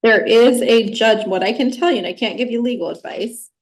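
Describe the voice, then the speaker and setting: An American woman speaking as a judge in court, formal, measured and firm as she addresses a party. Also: a little high, low-quality recording. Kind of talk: unscripted courtroom ruling.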